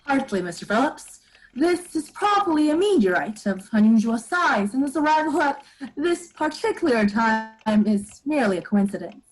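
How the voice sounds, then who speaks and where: Young woman acting skeptical astronomy professor, high pitch, formal and assured, swooping intonation, roomy recording. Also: sing-song, moderate pace. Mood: assured, dismissive. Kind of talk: scripted radio play acting. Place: living room, video call. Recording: roomy video call sound.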